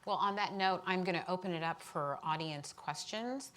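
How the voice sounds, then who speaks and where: A woman moderating a panel discussion, briskly organizing audience questions. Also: lowish voice, fairly bright tone. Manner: brisk, organizing, encouraging murmurs.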